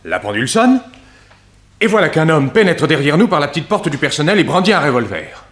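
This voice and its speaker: An actor playing a plain-spoken, shaken office clerk giving an account in a French radio drama. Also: male voice, on the high side, sing-song, a little fast, a bit bright. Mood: shaken, recounting. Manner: storytelling, matter-of-fact. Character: shaken but plain-spoken male clerk recounting events.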